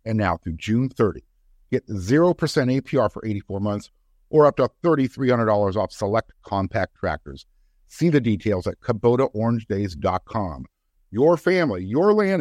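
A man's voice: lowish voice, animated, normal speed, a little mellow, no room sound. A man delivering a scripted advertisement as a commercial voice-over announcer.

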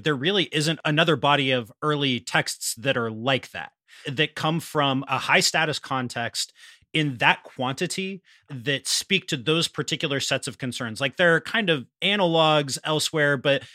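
A man's voice: on the high side, moderate pace, pushed and bright, dry recording, clean studio sound. A man hosting a scholarly interview, speaking conversationally and offering articulate, reflective commentary before his questions. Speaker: interviewer, host.

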